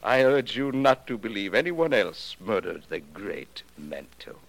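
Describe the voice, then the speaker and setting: A man's voice: on the low side, expressive, measured pace, gravelly, fairly bright tone, heavily acted, poor audio. An actor playing a proud, taunting craftsman of magic illusions in a 1940s radio detective drama, speaking in a formal, theatrical, boastful way.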